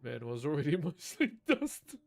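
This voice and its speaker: A man's voice: fairly high pitch, huge pitch swings, moderate pace, gravelly, faint music behind. A man reading a novel aloud on a live stream, chatting casually with viewers between passages and swearing freely. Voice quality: naturally loud voice. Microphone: desk microphone.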